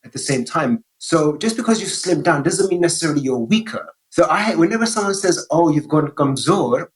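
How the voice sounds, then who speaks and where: A man guesting on a podcast, talking in a relaxed, reflective way and explaining with examples and frequent check-ins with the listener. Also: a little high, fairly quick. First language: English. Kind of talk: conversational interview.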